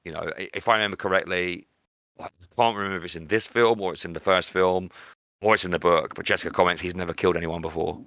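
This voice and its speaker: A man's voice: sits low, steady tone, fast, bright, forceful tone, dry recording. A British man co-hosting a podcast, chatting casually about films in a blunt, opinionated, sweary way. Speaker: podcast co-host. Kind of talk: casual film discussion.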